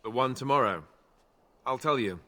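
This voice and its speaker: A man's voice: mid-range voice, animated, normal speed, sharp tone. A man playing a wry, candid young warrior in a fantasy video game, talking in a conversational way with dry understatement.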